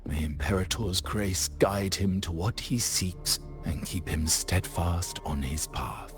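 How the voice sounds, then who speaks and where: A man's voice: sits low, slow, faint music behind. A man voicing a dutiful, devout fantasy officer in a video game, formal, reverent and earnest in manner. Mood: composed, reverent.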